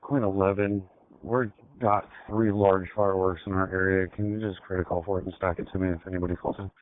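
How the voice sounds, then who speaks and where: A man gives terse police radio traffic in a fairly low voice. He talks fast, through a thin two-way radio. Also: little pitch movement. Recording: narrow-band police scanner radio.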